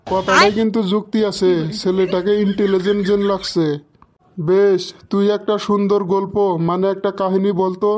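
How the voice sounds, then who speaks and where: A man's voice: sits high, flat delivery, normal speed, slight background noise, close to an everyday voice. A man voicing an impatient, boastful schoolteacher who scolds and quizzes pupils in a comedy cartoon. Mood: brisk, bossy. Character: exasperated bossy male schoolteacher quizzing pupils.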